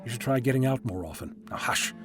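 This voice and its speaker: Man playing a whimsical, animated eccentric Doctor. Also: medium pitch, fast talker, lightly acted. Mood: brisk, assured. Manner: chatty, whimsical, lecturing. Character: genial eccentric alien Doctor, whimsical time-travelling scientist. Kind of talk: audio drama acting. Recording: music behind fan audio drama.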